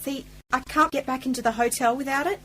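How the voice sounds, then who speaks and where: A woman's voice: high voice, a little fast, a bit bright. A woman playing a determined, crafty young snooper in an amateur radio play, earnest and persuasive. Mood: pleading. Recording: echoey, distant amateur recording, faint hum.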